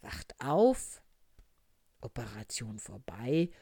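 A woman voicing a brisk, self-assured, formal male frog doctor with emphatic, clipped pronouncements in a children's storybook. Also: very deep voice, big pitch swings, mellow, breathy edge, no room sound, full character voice. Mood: reassuring.

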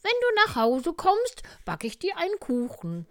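A woman's voice: high-pitched, wildly swooping pitch, unhurried, pitched above the performer's own voice, full character voice. A woman voicing a kindly, motherly aunt goose in an exclamatory way for a children's storybook.

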